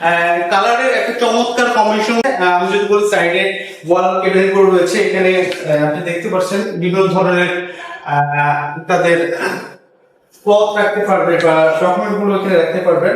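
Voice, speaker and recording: A man with a Bangladeshi accent presents an interior design walkthrough in a halting way, with drawn-out hesitations. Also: high-pitched, steady tone. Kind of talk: property walkthrough narration. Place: indoors, bare apartment rooms. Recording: echoey rooms, distant mic, background music.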